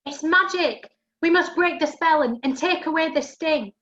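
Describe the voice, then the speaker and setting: A woman's voice: very high-pitched, normal speed, fairly bright tone, highs cut off. A woman playing a cheeky, outspoken sister in a rhyming pantomime. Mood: excited. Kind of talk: rhyming amateur pantomime acting and narrating.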